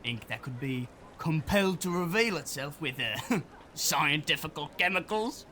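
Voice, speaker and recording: A bookish street boy, with a highish voice that swings widely in pitch. He muses haltingly like a young inventor in an audio drama, with faint noise behind. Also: lively intonation, sharp tone. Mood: musing, eager. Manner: hesitant, musing.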